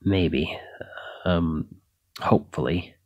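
A man's voice: low voice, takes it slowly, a little mellow, dry recording, highs cut off. A man acting a shy, flustered, self-doubting young knight in an ASMR roleplay, stammering and hesitant as he apologizes. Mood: embarrassed, awkward.

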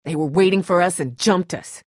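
A woman playing a tough, blunt, protective young survivor in a video game, with a husky voice and a terse, urgent, sardonic delivery. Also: lowish voice, moderate pace, a little mellow, no echo, clean studio sound, lightly acted. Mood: tense, guarded. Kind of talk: dramatic game dialogue.

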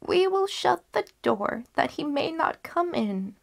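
A woman voicing a shrewd, protective old grandmother in a storybook reading, deliberate and instructing. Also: high-pitched, sing-song, gravelly, voice pushed up, full character voice. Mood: cautious.

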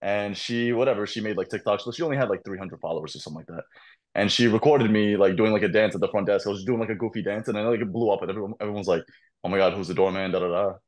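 A young man, a podcast guest and content creator, telling animated stories in casual chat over a video call. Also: on the low side, very fast. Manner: animated storytelling, laughs often.

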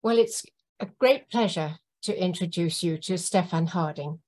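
A woman moderating a talk from a room at home over a video call, introducing the speaker with admiration, sometimes pausing mid-sentence. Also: on the low side, darkish tone.

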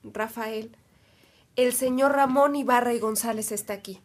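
A woman's voice: fairly high pitch, fairly even intonation, normal speed, lightly acted. A woman playing a polite, devout household woman in a radio drama. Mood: welcoming. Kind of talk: Spanish-language radio drama acting.

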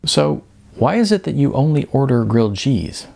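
A man voicing a polite, composed waitress in an audiobook, speaking calmly with a wry touch. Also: mid-range voice, moderate pace, vocal fry, voice pushed up. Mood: friendly, curious. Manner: calm, polite.

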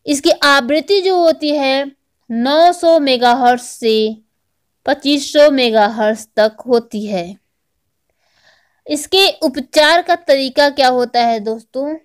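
A woman narrating a lesson as a teacher, explaining step by step and repeating key points. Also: high voice. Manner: didactic, repeats key points as questions and answers. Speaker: teacher, online exam-prep educator. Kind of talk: scripted lesson narration over slides.

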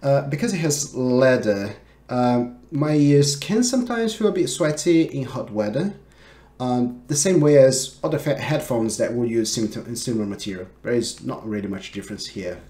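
A man in his thirties giving a casual tech product review with hesitant, halting phrasing. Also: mid-range voice, expressive, dark tone. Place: small home office, indoors.

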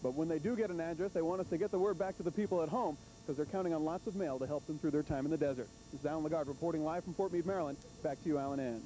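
Quick high-pitched male TV reporter. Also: warm tone, poor audio. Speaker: TV field reporter. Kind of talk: live news report. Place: outdoors at an army base, on location. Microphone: handheld reporter microphone. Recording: faint hiss and rumble, old TV broadcast.

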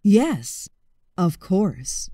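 A woman voicing a polite male crocodile with a sweet tooth in a children's story, savouring words in a drawn-out way. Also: lowish voice, very animated, warm tone, husky, no echo, studio quality, heavily acted. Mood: obliging. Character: smooth-tongued, sweet-craving male crocodile.

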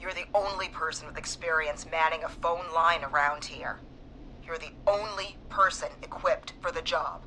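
A woman's voice: low-pitched, steady tone, moderate pace, sharp tone, slight background noise, rough recording. A woman playing a frightened but determined police dispatcher in a video game. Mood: urgent, insistent.

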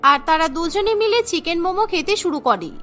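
A woman's voice: extremely high pitch, sing-song, fast, fairly bright tone, soft background music. A woman narrating a scripted cartoon story.

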